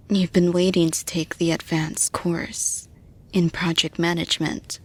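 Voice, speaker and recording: A woman playing a composed, supportive female boss in an intimate roleplay audio, speaking to the listener in a measured, reassuring, soft manner. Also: on the low side, breathy edge, exaggerated voice.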